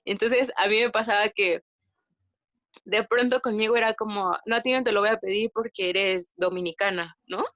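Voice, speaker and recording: A woman from Mexico with a Mexican accent, chatting casually as a podcast guest, retelling anecdotes in an animated way with slang and quoted dialogue. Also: mid-range voice, normal speed, no room sound. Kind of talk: casual conversational storytelling.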